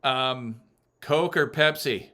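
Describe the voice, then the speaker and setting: A man hosting a casual podcast chat, teasing his guest and laughing often, with many halting restarts. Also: mid-range voice, pushed and bright. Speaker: podcast host.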